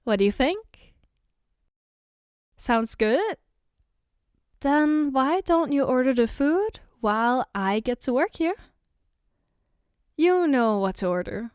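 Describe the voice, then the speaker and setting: A woman playing an affectionate, gently teasing girlfriend in a soft-spoken ASMR roleplay, tender and reassuring. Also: on the high side, smooth, no room sound, studio quality. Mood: happy, affectionate. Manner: tender, reassuring, playfully coaxing, unhurried. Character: affectionate, gently teasing girlfriend doing her partner's makeup.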